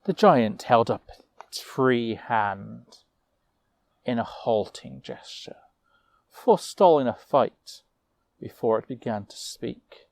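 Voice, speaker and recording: A man narrating a fantasy tale as a storyteller, with a measured, theatrical delivery. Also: mid-range voice, lively intonation, creaky, a little mellow, no echo.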